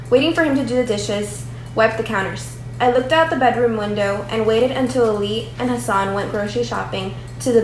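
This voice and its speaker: A woman's voice: fairly high pitch, lightly acted. A woman reading a remorseful narrator's memories of boyhood as scripted readers' theatre. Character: remorseful Afghan narrator recalling his boyhood. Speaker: student performer. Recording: echoey room, faint background noise.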